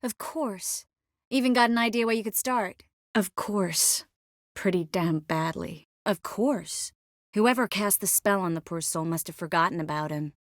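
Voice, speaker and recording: Game sorceress, woman actor: poised, warm-hearted, composed, wry teasing edge; mid-pitched, very dry studio sound. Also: a little slow, dry recording, close to an everyday voice. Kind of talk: scripted game character dialogue.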